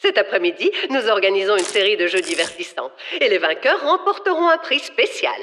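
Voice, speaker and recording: A woman voicing a strict, self-important female campus director in an animated cartoon. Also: medium pitch, brisk, a little hiss or hum. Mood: announcing. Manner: grandiose, self-important, announcing. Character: pompous, strict female campus director welcoming young talents. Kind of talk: French animated cartoon dubbing. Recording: roomy echo, cartoon music behind.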